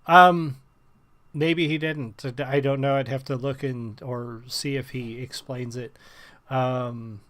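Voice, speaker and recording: A man reacting to and reviewing a song in casual commentary, pausing often with ums and restarting sentences. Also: mid-range voice, fairly even intonation, a bit bright. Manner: hesitant, many ums and restarts. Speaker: music reviewer.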